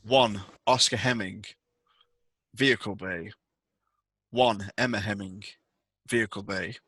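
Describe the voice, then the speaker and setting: A man's voice: fairly low pitch, fairly even intonation, slow, fairly bright tone, slightly rough, no room sound. A man narrating a tabletop roleplaying game as game master, setting out information and scenes for the players.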